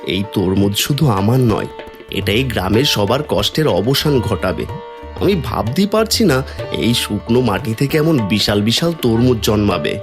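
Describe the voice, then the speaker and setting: A man's voice: lowish voice, big pitch swings, normal speed. A man playing a humble, generous village farmer in a cartoon folk tale, earnest and full of wonder. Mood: awed. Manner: awed, earnest. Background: background music.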